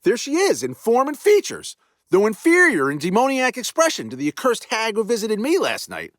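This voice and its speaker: A man's voice: sits high, wildly swooping pitch, moderate pace, fairly bright tone, no echo, clean studio sound, pitched above the performer's own voice, exaggerated voice. A man doing a shaken, peevish old general. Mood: alarmed. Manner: stiff, formal old-fashioned speech.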